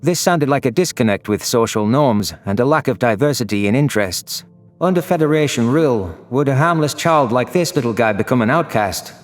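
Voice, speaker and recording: A man narrates a sci-fi story in a scripted, first-person style. His voice is mid-pitched, with faint music running underneath. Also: animated, brisk, dry recording. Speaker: audio drama narrator.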